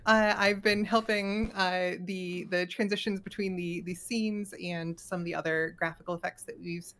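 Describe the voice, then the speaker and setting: A woman's voice: lowish voice, fairly even intonation, moderate pace, fairly bright tone. A woman, a podcaster helping on a production, introducing herself casually.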